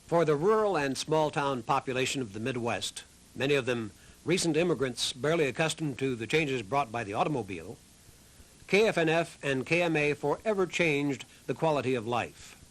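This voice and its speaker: A man's voice: mid-range voice, expressive, normal speed, poor audio. A man reading scripted documentary narration as the narrator of a history program.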